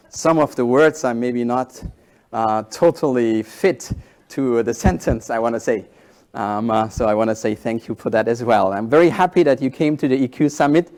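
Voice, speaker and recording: A man in his forties whose first language is German, giving a conference keynote in halting English with animated storytelling. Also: medium pitch. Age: 40s. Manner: animated storytelling, halting non-native phrasing. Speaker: keynote speaker.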